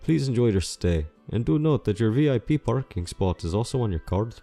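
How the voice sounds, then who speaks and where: A man's voice: medium pitch, expressive, a little fast, gravelly, warm tone. A man playing a polite, professional catfolk hotel clerk in an audiobook, speaking formally and courteously. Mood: polite, businesslike. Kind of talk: audiobook character dialogue. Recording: music under the voice.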